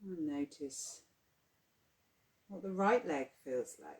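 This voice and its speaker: A yoga nidra guide leading a guided relaxation in a soothing, unhurried, gently instructing manner. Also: mid-range voice, animated. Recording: roomy, echoing sound.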